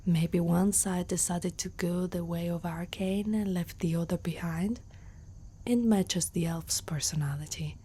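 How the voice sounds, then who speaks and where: A woman from Italy playing an older, protective female centaur warrior haunted by loss, in a soft, slow, breathy whisper for an ASMR roleplay. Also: on the low side, steady tone. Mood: gentle, curious.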